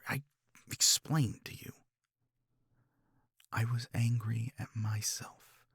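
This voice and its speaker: Man playing frustrated male academic, jealous, intimate ASMR roleplay, slow halting delivery, close dry recording, intense. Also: fairly low pitch, sharp tone, dry recording, exaggerated voice. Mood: defensive, frustrated. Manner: halting, intense, slipping into breathy near-whispers. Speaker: audio roleplay voice actor.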